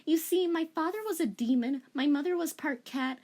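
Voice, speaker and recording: A woman's voice: sits high, moderate pace, darkish tone, voice pushed up. A woman voicing an earnest, formal young half-demon anime heroine in a theatrical, sugary polite way.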